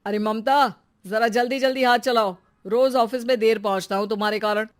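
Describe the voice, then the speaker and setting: A woman's voice: on the high side, fairly even intonation, brisk, lightly acted. A woman voicing an impatient, fault-finding husband in a cartoon story.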